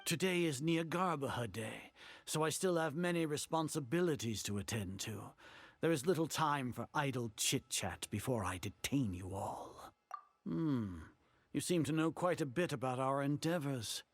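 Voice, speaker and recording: An actor playing an arrogant older grand sage in a video game, speaking in a pompous, formal and condescending way. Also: male voice, medium pitch, expressive, normal speed. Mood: haughty, dismissive.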